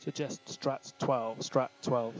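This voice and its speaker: A man's voice: medium pitch, steady tone, slow, heavy background noise. A man working as a race engineer, giving terse, matter-of-fact instructions to a driver over team radio. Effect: team radio transmission. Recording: muffled team radio channel.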